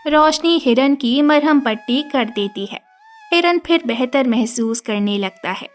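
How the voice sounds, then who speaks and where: High-voiced, unhurried woman storyteller. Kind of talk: scripted narration of an animated children's story. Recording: music behind the voice.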